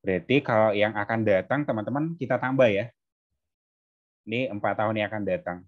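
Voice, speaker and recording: A male tutor with a fairly low voice explains things step by step in an informal way. He keeps turning to his students with short checking questions. The sound is somewhat dull and lo-fi. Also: a little fast. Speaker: tutor teaching exam maths.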